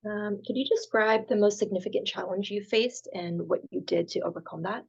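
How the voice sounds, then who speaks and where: Woman interviewer, mid pitch, gravelly fry, reading questions, lo-fi sound. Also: steady tone, a little fast. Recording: muffled, lo-fi audio.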